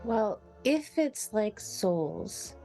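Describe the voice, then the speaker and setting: A woman plays a coolly ruthless sister in a 1950s horror roleplay game. She speaks slowly and pensively at a medium pitch, keeping a matter-of-fact tone. Faint music plays underneath. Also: big pitch swings, mellow. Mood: coolly pragmatic. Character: cool, ruthless adult sister from a 1950s dynasty. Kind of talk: improvised in-character tabletop roleplay. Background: background music.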